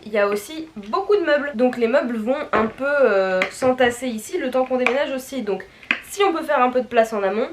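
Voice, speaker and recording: A woman's voice: on the high side, noisy background. A young woman from Paris, chatting casually in a playful, slangy, self-mocking way as she vlogs. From Paris, France. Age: young adult. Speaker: vlogger.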